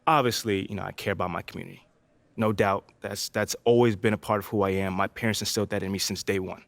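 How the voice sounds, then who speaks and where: A man's voice: on the low side, fairly even intonation, fast, creaky, no echo. A man playing an easygoing young American basketball player in a video game, chatting casually and politely. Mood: sincere, earnest. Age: young adult. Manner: laid-back, friendly, informal slangy talk. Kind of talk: casual conversational video game cutscene acting.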